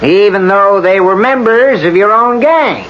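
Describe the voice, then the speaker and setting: Skeptical male lawyer, deliberate, unhurried, high-set voice, radio drama, hissy old broadcast. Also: animated, highs cut off. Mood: measured skepticism. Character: dry, methodical male lawyer cross-examining a witness.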